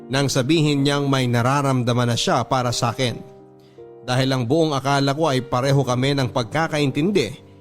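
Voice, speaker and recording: A man's voice: medium pitch, lightly acted. A man playing a regretful cheating husband, narrating his confession in first person for a radio drama.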